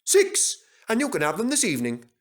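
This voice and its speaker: A man's voice: sits high, wildly swooping pitch, brisk, pitched above the performer's own voice. A man voicing a cheeky, tricky little tank engine with a mock-innocent manner, in a children's story. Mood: sly, chirpy.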